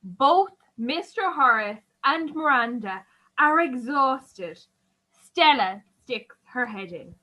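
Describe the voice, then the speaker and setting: High, bright, slow female narrator. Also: expressive, rough recording. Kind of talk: scripted narration of stage directions.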